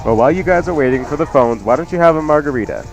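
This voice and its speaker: A man's voice: a little high, expressive, racing, dull audio, over music. A man co-hosting a cooking radio show with casual banter and recipe talk.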